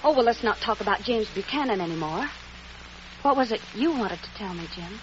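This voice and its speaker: An actress playing a gentle, lovesick young woman cook with a soft, tremulous manner. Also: medium pitch, lively intonation, a little fast, close to an everyday voice. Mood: wistful. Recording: muffled noisy old radio recording.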